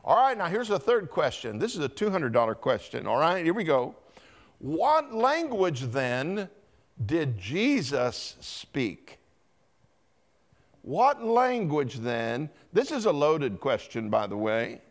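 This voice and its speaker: A man's voice: fairly high pitch, big pitch swings, slow. A man, a pastor, teaching a Bible lesson in church, quizzing the congregation and calling on people by name. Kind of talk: interactive Bible teaching with quiz questions.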